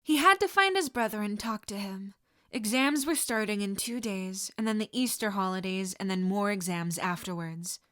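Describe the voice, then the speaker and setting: A woman reading fan fiction aloud as an audiobook narrator, steady and expressive. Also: mid-range voice, normal speed. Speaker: podfic reader.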